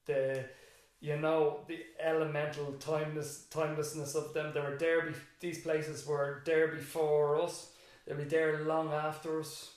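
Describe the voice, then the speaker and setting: A man's voice: fairly high pitch, flat delivery, unhurried, hardly any pauses. An Irish man, a teacher, talking reflectively in a casual interview and building up emphatic lists. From Ireland. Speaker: teacher, podcast guest. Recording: very echoey room.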